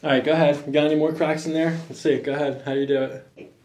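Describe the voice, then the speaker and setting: A man in his thirties, a chiropractor, calmly giving coaxing hands-on instructions to a patient. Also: on the high side, quick pace. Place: small office, indoors. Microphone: microphone on boom stand.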